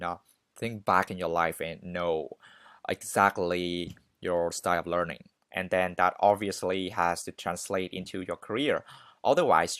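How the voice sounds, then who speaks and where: A man's voice: deep voice, a bit bright, no room sound, clean studio sound. A man hosting a podcast, giving informal, conversational advice straight to listeners with emphatic repetition. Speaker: podcast host. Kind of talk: casual advice monologue to listeners.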